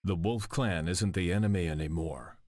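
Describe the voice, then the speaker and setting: A resolute young warrior heir from a video game , acted by a man. His male voice is low and grave, with a serious, commanding manner. The sound is dry and close. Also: normal speed, darkish tone, dry recording, lightly acted. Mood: grim, resolute. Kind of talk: video game cutscene acting.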